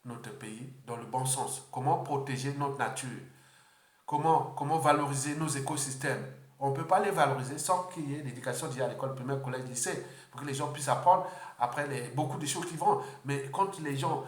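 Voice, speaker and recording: Male political speaker from Congo, mid pitch, unscripted and halting, reverberant room. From Republic of the Congo. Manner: halting, repeats words, many fillers.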